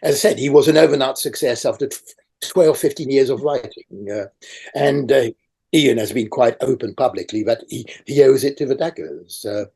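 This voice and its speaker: Older guy on a video call, gravelly dark voice, lots of uhs and ums, first language french. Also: mid-range voice, normal speed. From raised in France. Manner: rambling, hesitant with many fillers. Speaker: awards chair interviewee. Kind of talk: conversational interview.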